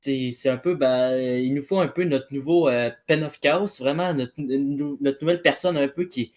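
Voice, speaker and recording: A man talking casually in a debate, halting often and leaning on repeated filler words. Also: mid-range voice, a little mellow. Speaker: debate participant.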